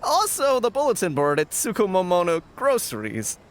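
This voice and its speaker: Timid, wildly swooping young gang underling. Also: female voice, medium pitch, huge pitch swings, normal speed. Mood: uneasy. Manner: whiny, fearful, hesitant. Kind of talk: English video game voice acting. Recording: music behind voice.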